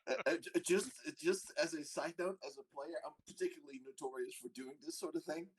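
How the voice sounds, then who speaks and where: A man speaking as a tabletop game player, casual and a little hesitant, with a deadpan manner. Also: fairly high pitch, fairly quick, distant mic, a little hiss or hum, poor audio.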